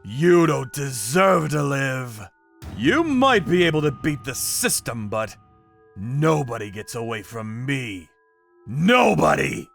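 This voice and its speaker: Grim vigilante killer narrating manga story, slow n hard-edged, cool menace, music behind. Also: male voice, mid-range voice, sing-song, creaky, fairly bright tone, exaggerated voice. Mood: grim, resolute. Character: grim vigilante torturer narrating his revenge work, coolly menacing. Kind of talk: scripted dramatic manga narration.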